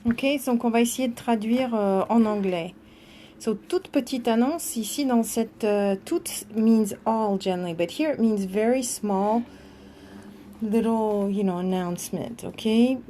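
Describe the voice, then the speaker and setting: A woman's voice: fairly high pitch, unhurried, mellow, a little hiss or hum. A woman informally teaching and translating between two languages, explaining things in an encouraging way as she guides a reading. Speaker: host guiding a child's reading and translating. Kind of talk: informal bilingual teaching, chatting with live viewers.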